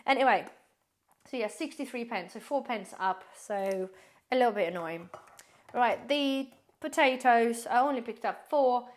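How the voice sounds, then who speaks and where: A woman with a slightly raised pitch talks viewers through her grocery haul in a loose, rambling vlog style. Her voice is a little hoarse, as if she is losing it. She speaks to viewers directly and calls them guys. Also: a little slow. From based in the UK. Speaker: prepper vlogger.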